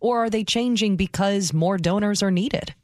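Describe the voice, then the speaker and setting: A woman's voice: mid-range voice, moderate pace, dry recording, clean studio sound. A woman co-hosting a news radio show, putting questions to guests. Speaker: radio co-host.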